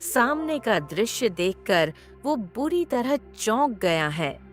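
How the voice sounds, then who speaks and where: A woman narrating a cartoon story in an expressive, measured storytelling manner. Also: fairly high pitch, soft background music.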